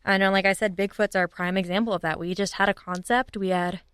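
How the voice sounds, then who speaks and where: A woman's voice: medium pitch, fairly even intonation, quick pace, fairly bright tone, smooth, no echo. A woman chatting casually and enthusiastically as an interview guest, informal and upbeat. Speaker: guest, audio drama writers' room leader.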